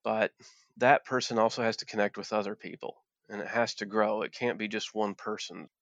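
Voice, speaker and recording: A man's voice: on the low side, steady tone, a little fast, a bit bright, no room sound. A man from southwest Virginia, a former coal miner speaking earnestly as an interview guest, often restarting and repeating words. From southwest Virginia, Appalachia. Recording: dull, dry lo-fi sound.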